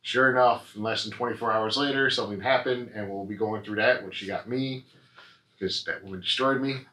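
A man's voice: lowish voice, a little fast, pushed and bright. A young American man chatting casually as a vlogger, explaining things in a rambling way. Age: young adult. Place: small living room, on a couch. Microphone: camera mic a few feet away. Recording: roomy echo, noisy camera audio.

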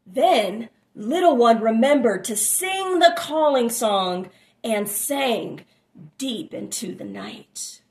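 A woman reads a picture book aloud to children, with small asides. Her voice is fairly high and very animated in pitch. She takes her time, drawing out phrases for dramatic effect. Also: slow pace. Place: small room at home, indoors.

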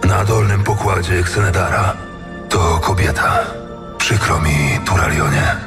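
Solemn fantasy soldier for radio play, slow delivery, music behind. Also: male voice, sits low. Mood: somber. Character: grave male soldier serving a holy commander. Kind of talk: audio drama acting.

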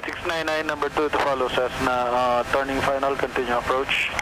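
A man's voice: mid-range voice, level tone, fairly quick. A man giving clipped air traffic control instructions over aviation radio. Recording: radio transmission under loud engine noise.

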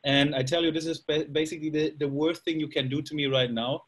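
A man's voice: a little high, steady tone, fast, fairly bright tone. Upbeat young German man on a call. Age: young adult. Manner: upbeat, joking, chatty. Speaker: presenter. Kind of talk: casual video call talk. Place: small room indoors at night. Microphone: laptop webcam on a video call.

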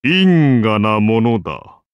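A majestic founder-king of Rome turned god, declaims in archaic, solemn phrases for an anime game. His benevolent voice moves slowly and sweeps widely in pitch, in a close, dry recording. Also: big pitch swings, smooth, no echo, dull audio, heavily acted. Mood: solemn, grand. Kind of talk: anime game character voice lines.

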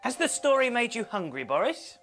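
Cheerful monkey puppet with a lively pitch, over music; a man performs it. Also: a little fast, heavily acted. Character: friendly monkey puppet host. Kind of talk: children's TV puppet show.

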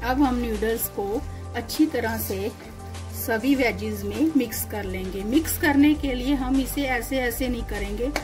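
A woman's voice: on the high side, a little slow, music in the background. A woman hosting a cooking channel, giving a step-by-step recipe voice-over in short, instructive phrases.

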